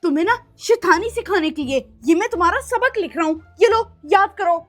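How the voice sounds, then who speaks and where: A mischievous schoolboy son, acted by a woman in a family comedy skit. The voice is extremely high, cheeky and bossy, with faint music behind it. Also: lively intonation, brisk. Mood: smug. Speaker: actor in a comic skit. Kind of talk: comic family skit acting.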